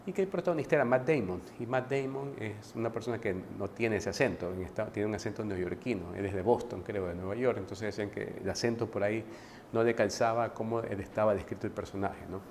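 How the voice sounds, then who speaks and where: Male book reviewer, low-pitched, relaxed conversational delivery, faint noise behind. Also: fast talker, creaky. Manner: conversational, frequent restarts and tag questions. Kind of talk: casual book commentary.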